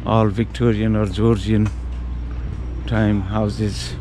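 A man in his fifties talks slowly and haltingly, full of ums and uhs, as he walks city streets narrating a travel vlog. His voice is picked up by a handheld action camera at arm's length, with clear street and traffic noise all around him. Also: on the low side, flat delivery. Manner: slow, hesitant, many ums and uhs. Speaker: travel vlogger. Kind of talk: walking-tour vlog narration. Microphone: action camera held at arm's length.

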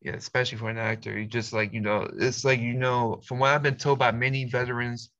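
A man's voice: medium pitch, flat delivery, quick pace, a bit bright. A man hosting a casual interview, speaking hesitantly with many fillers. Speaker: interview host. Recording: dull lo-fi mic.

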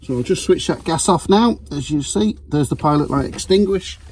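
A man's voice: fairly high pitch, animated, normal speed, darkish tone, slight background noise, poor audio. A man giving an upbeat, chatty walkthrough of a small camper van, filming on a handheld phone. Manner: chatty, upbeat sales patter. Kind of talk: handheld walkaround video tour. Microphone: phone camera held in hand.

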